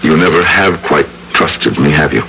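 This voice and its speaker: An actor playing a courteous, secretive, philosophical gentleman in an old-time radio drama, speaking formally and theatrically. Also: a bass voice, moderate pace, vocal fry. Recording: noisy old radio recording.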